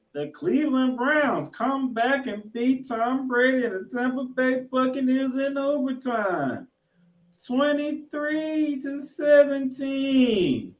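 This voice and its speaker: A man hosting a sports podcast, giving emphatic, opinionated commentary and game recaps. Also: extremely high pitch. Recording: roomy, echoing voice pickup.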